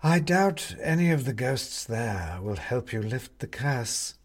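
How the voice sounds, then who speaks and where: A man's voice: mid-range voice, very animated, slow. A man voicing a haughty, sly cat in an audiobook, drawling with disdain and contempt for humans. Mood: smug disdain. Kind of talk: audiobook character voice.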